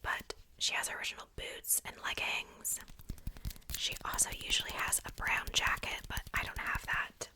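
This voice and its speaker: A young adult woman speaking in a breathy, unvoiced whisper, doing a casual ASMR show-and-tell in a soft, rambling, unhurried way. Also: low-quality recording. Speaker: ASMR video creator.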